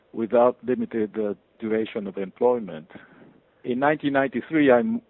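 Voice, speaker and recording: A man, a guest professor answering interview questions in a measured, formal way with frequent pauses. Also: on the low side, vocal fry, husky, no room sound. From Japan. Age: 50s. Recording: tinny phone-like line.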